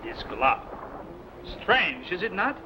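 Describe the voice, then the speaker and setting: A menacing, scheming sailor played by a man in an old radio adventure. The voice is high for a man and very animated, with deliberate, theatrical phrasing. Clear noise runs through the vintage recording. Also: highs cut off, exaggerated voice. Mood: suspicious, menacing. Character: scheming suspicious male Spanish sailor plotting mutiny.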